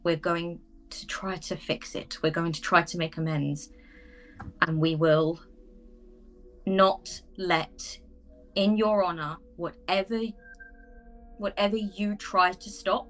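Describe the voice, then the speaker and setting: A woman's voice: on the low side, steady tone, takes it slowly, poor audio. A woman voicing a resolute, compassionate woman investigator in a tabletop game, earnest and solemn. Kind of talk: tabletop roleplay, improvised in-character speech.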